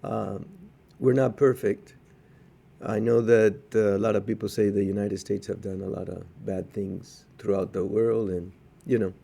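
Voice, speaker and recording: A man from Cuba speaks as a podcast co-host in a low, mellow voice. He talks in a measured, cautionary way and often pauses or restarts mid-thought. His tone is dark and relaxed. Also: creaky, slightly rough. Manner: measured, cautionary, with frequent hesitations. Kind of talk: casual podcast chat.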